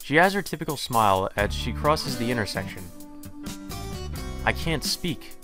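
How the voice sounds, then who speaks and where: Young man, let's play reader, middle pitch, guitar music underneath. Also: expressive, normal speed. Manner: earnest, self-correcting reading. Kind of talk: reading a visual novel aloud with asides.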